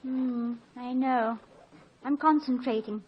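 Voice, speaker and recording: An actress playing a college president's tender, witty wife, a former stage actress with a British accent and poised diction, in a 1950s radio drama. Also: female voice, high-pitched, fairly even intonation, takes it slowly, slight background noise. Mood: tender, wry. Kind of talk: 1950s American radio comedy-drama acting. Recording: muffled old radio recording.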